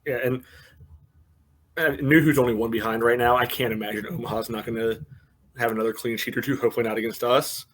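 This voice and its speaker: A man's voice: mid-range voice, fairly even intonation, quick pace, fairly bright tone. A man co-hosting a sports podcast, giving casual analysis in a loose way peppered with filler phrases. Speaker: podcast co-host.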